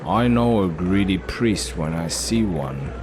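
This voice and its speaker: A man playing a wry, principled male relic hunter in a dark fantasy audio drama. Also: low-pitched, expressive, slow pace, background noise. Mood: wry, half amused. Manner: muttering, absent, earnest.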